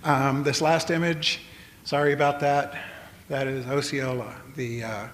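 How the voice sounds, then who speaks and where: An older man giving a public lecture, talking in a halting way with many fillers and restarts. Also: mid-range voice, fairly even intonation, a bit bright. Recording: long hall reverb, faint noise.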